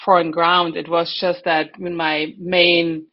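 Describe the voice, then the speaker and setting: A woman's voice: lowish voice, level tone, slow, dull audio. A middle-aged woman with a German accent, a real estate broker chatting as a podcast guest, emphatic and full of fillers. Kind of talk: casual interview chat.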